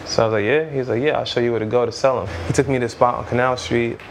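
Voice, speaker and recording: Man in his thirties, low gravelly voice, flat delivery, rambling casual interview talk, faint traffic behind. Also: very fast. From Trinidad, raised in Brooklyn. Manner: loose, rambling, lots of "you know what I mean". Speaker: music executive guest.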